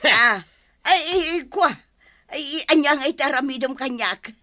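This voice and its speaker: An actress with an Ilocano Filipino accent playing a long-suffering, complaining wife in a radio comedy-drama, plaintive and full of exclamations. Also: female voice, sits high, expressive, a little slow, sharp tone, no room sound, studio quality, full character voice. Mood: frightened, flustered.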